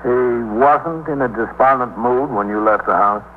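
A man playing a steady, firm police captain in a 1950s radio drama, measured and patient. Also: mid-range voice, close to an everyday voice. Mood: calm, probing. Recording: tinny, noisy 1950s radio recording.

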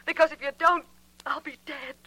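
A woman's voice: high voice, fairly even intonation, normal speed, natural voice. An actress playing a frightened, breathless, pleading woman in distress in a 1940s radio melodrama. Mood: urgent pleading. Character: frightened, urgent female damsel in distress. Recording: hissy, humming old radio recording.

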